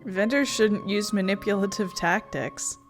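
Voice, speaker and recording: A woman's voice: mid-range voice, unhurried. A woman doing let's play commentary, reading out dialogue and reacting to a game. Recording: faint game music behind the voice.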